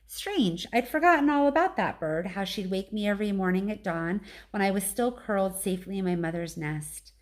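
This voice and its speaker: A woman reading a children's storybook aloud as a teacher would, with animated, clearly phrased narration. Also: mid-range voice.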